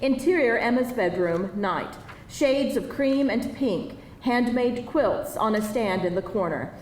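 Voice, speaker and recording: A woman's voice: fairly high pitch, lively intonation, measured pace, a little mellow. A woman narrator reading screenplay stage directions aloud at a live table read. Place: indoor live table read before an audience. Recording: distant mic, echoey room, faint noise.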